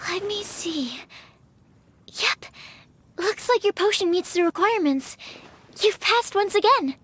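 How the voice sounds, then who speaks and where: An actress playing a sweet, earnest young alchemist in an anime game, speaking gently and politely. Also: female voice, very high-pitched, expressive, unhurried, slight background noise, heavily acted. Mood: appraising.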